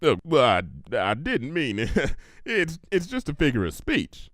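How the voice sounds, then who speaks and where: A man's voice: fairly high pitch, wildly swooping pitch, a little slow, fairly bright tone, no room sound, studio quality, pitched above the performer's own voice, heavily acted. A man voicing an earnest, plain-spoken female farm pony. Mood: flustered. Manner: folksy, emphatic.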